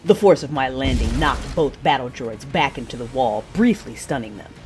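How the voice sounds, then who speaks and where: A woman playing a passionate, fierce but wounded Sith warrior, narrating her own story in an intimate, breathy audio-drama style. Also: rumbling low, expressive, moderate pace, a little mellow, soft background music. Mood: fierce, driven. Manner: intimate, breathy, intense delivery.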